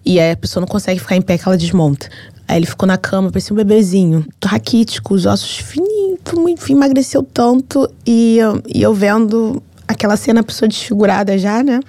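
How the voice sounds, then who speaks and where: A woman from Brazil with a Brazilian accent, telling a personal story in an emotional, earnest and detailed way, halting at heavy moments. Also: medium pitch, dark tone, no room sound.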